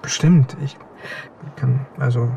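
An actor playing a nervous, hesitant young accomplice in a naturalistic radio drama, stammering anxiously. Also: male voice, mid-range voice, steady tone, takes it slowly, creaky, mellow, lo-fi sound, a little hiss or hum. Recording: music behind the voice.

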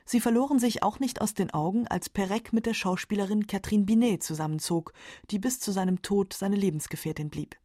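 A woman's voice: medium pitch, a little fast, warm tone, dry recording, clean studio sound. A woman with pronunciation reading scripted narration for a literary radio documentary.

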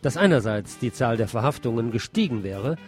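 Male news presenter, mid-pitched, scripted bulletin delivery, music running underneath. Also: expressive, a little fast, a little mellow.

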